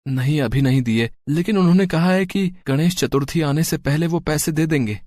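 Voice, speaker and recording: A man's voice: on the high side, moderate pace, mellow, dry recording, lightly acted. Man playing a poor devout husband, humble, hesitant, deferential, cartoon storybook dialogue, dry close sound. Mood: earnest.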